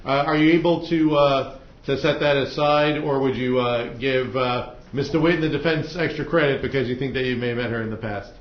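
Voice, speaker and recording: Quick-talking American man, judge in echoey courtroom. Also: mid-range voice, steady tone. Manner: casual, plain-spoken. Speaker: judge presiding over jury selection. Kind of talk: informal courtroom questioning and procedural instruction. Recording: echoey courtroom, muffled, faint noise.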